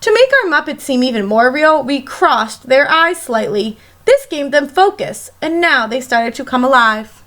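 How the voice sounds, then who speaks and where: A woman teacher reading a picture book aloud to children in an expressive, emphatic storytelling manner. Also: high-pitched. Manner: animated, emphatic, pauses to question young listeners.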